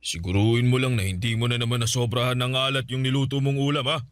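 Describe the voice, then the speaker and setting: A man's voice: medium pitch, steady tone, quick pace, no room sound, studio quality, lightly acted. A man playing a stern, fault-finding uncle in a radio drama, speaking with a Filipino accent. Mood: stern, scolding.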